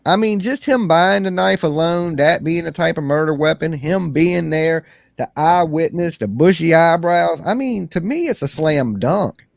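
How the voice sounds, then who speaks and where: A man's voice: fairly high pitch, lively intonation, normal speed, gravelly, dry recording, studio quality. A man hosting a casual livestream chat, blunt and salty, laid-back. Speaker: livestream host.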